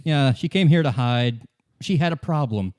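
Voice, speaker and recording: A man's voice: mid-range voice, expressive, normal speed, darkish tone, smooth, lightly acted. A man playing a wary, bitter fugitive woman in a tabletop radio play, dismissive and sardonic, repeating himself for emphasis. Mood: guarded, insistent. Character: wary red-haired gunwoman in hiding, defiant and bitter.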